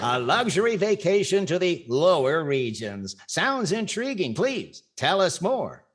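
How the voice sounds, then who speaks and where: Older man playing a lively, wry radio host. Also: sits high, a little slow, slightly rough, full character voice. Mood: curious, wry. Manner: wry straight man, echoing lines. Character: wry, inquisitive male radio comedy host. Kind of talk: 1940s radio comedy acting. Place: indoors on a video call.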